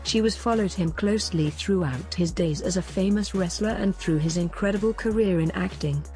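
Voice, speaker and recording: A low-pitched woman narrates a scripted feel-good story. Her voice is mellow and relaxed, with faint music playing behind her. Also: normal speed, rough recording.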